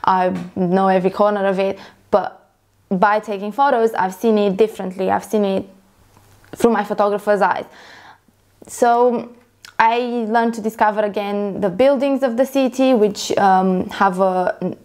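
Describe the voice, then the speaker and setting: A woman's voice: medium pitch, steady tone, unhurried, a little mellow, smooth. A young woman from Romania, a photographer vlogger, talking casually to camera and rambling with many fillers and self-corrections.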